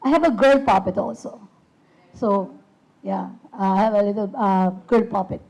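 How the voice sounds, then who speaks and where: A woman with a husky voice giving a hesitant, casual talk about her puppet ministry, with many fillers and restarts. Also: medium pitch, normal speed, a little mellow, rough recording. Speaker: puppet ministry team member. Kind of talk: casual presentation.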